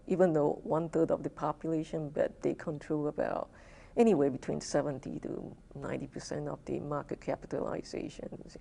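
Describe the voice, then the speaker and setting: Very gravelly low-voiced woman. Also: warm tone. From Malaysia. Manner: hesitant, many fillers. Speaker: economics professor, guest. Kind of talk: interview answers.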